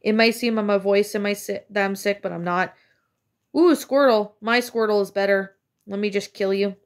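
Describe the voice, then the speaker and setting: A woman's voice: medium pitch, normal speed. A woman casually commenting on her own gameplay, chatty and rambling with many fillers, slightly congested from a cold. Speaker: let's play gamer.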